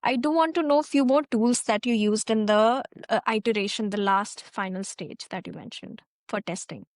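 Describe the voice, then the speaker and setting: A woman's voice: on the high side, moderate pace, dry recording, studio quality. Conversational woman podcast host. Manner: conversational, uses "like" often. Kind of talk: interview questions.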